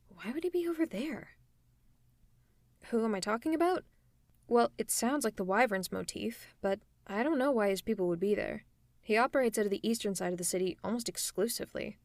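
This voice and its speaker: A woman's voice: medium pitch, darkish tone, no echo, lightly acted. A woman playing a sly, sardonic supervillain with a caring streak in a roleplay audio drama, speaking in a teasing, conversational way. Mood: puzzled, indignant.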